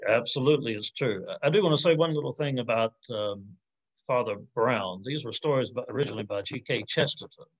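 A man in his forties speaking as an academic answering audience questions informally, thoughtful and halting, often hedging and rephrasing on a video call. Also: mid-range voice, low-quality recording. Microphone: computer mic on a video call.

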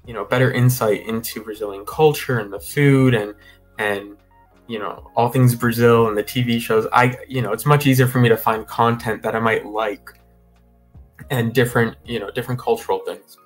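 Young man, mid-pitched voice, relaxed and rambling, headset on a video call, faint music underneath. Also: moderate pace. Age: young adult. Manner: rambling with many fillers and restarts, easygoing. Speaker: guest. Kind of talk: casual interview. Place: home room over a video call.

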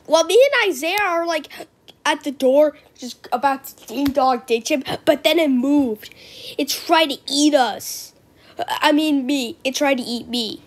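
A woman performing homemade character lines as an amateur voice actor, theatrical, with gasps and drawn-out exclamations. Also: high voice, fairly bright tone. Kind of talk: homemade character voice lines, animated movie remake.

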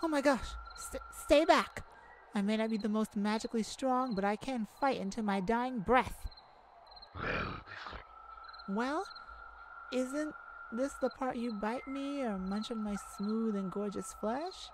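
A woman's voice: a little high, unhurried, pauses often, natural voice. Woman doing a nervous caring mage girl, asmr roleplay, takes her time, noisy ambience behind. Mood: panicked, frightened, then anxious. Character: nervous, caring young mage rescuing a friend.

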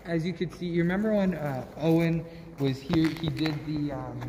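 A man's voice: on the high side, moderate pace, mellow. A young man walking through a large abandoned factory, bantering casually with his friend while the camera films him. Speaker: vlog co-host. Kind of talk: casual chat. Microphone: camera held by his companion, a few steps off. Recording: echoey hall with a noisy background.

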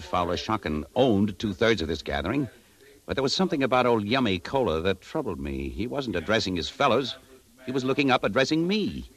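A very low-pitched disillusioned ad man from 1950s radio drama. He speaks in a hardboiled, reflective manner, like a weary man recounting his own downfall. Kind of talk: 1950s radio drama acting and first-person narration.

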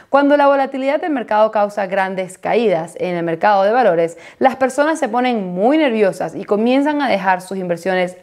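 A woman's voice: mid-range voice, darkish tone. A woman in her thirties presenting a scripted explainer to camera as host of an online video channel. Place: small indoor home studio with desk.

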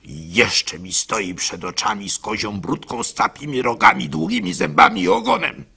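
A man telling a literary story in the first person, theatrical and expressive, with varied intensity. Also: medium pitch, normal speed, gravelly, breathy edge.

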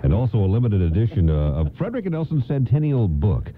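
A man's voice: on the low side, very animated, fast, no echo. A middle-aged American man hosting a casual morning radio show, chatty and jokey. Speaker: radio host. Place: radio studio. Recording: narrow-band AM radio broadcast, noisy.

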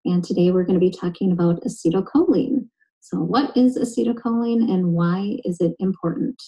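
A woman nutritionist explaining a health topic in a clear, steady, scripted way. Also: fairly low pitch, moderate pace, rough recording. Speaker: nutritionist and genetic specialist.

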